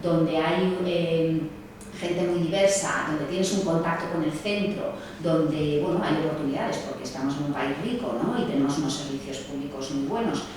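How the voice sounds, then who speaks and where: Low-voiced woman in an echoey hall. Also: normal speed, crowd noise. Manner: hesitant, many fillers and tag questions. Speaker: sociologist speaking at a seminar. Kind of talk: seminar talk. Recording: echoey distant room mic, faint ambience.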